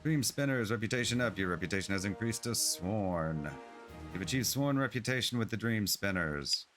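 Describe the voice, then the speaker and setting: A man streaming a game, reading its dialogue and on-screen text aloud in a plain, unhurried way. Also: fairly low pitch, expressive, fairly bright tone, faint music behind.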